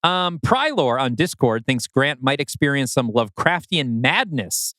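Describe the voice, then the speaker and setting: A man's voice: medium pitch, big pitch swings, a little slow, sharp tone, dry recording. Casual male podcast host, close dry sound. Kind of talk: casual chat, reading out listener predictions.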